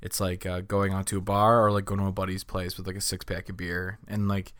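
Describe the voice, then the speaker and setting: Co-host: young man, lowish voice, dry close mic, keen and chatty. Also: little pitch movement, a little fast, fairly bright tone, clear, resonant tone, dry recording. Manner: enthusiastic, lots of "dude" and "like". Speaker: podcast co-host. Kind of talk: casual podcast chat. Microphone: podcast microphone.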